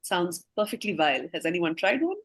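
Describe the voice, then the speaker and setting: A woman's voice: on the low side, very animated, brisk. A woman quizmaster reading scripted questions aloud, with casual asides to the players.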